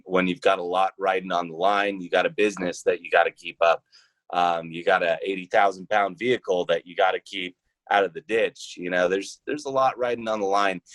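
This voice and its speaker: A man's voice: low voice, a bit bright, no echo, poor audio. A man speaking as an interview guest, casual and reflective, hesitantly thinking his answers through aloud. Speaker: podcast guest, show creator.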